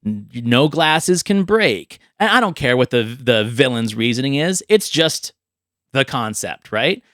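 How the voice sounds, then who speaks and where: A man's voice: mid-range voice, big pitch swings, a little slow, fairly bright tone, dry recording. Man hosting a dry, close-miked podcast. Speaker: podcast host. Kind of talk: casual film chat and movie pitching.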